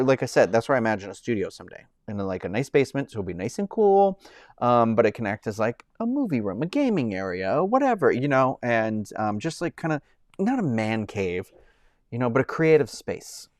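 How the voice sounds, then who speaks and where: A man in his thirties chats loosely to his live-stream viewers. His talk wanders, full of "like" and "um" and sentences he starts over. His pitch sits in the middle for a man, and the sound is dry and close, with no room echo. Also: sing-song, a little fast, darkish tone. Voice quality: says he has a high-pitched voice. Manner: rambling, many fillers and restarts. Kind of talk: casual live-stream chat.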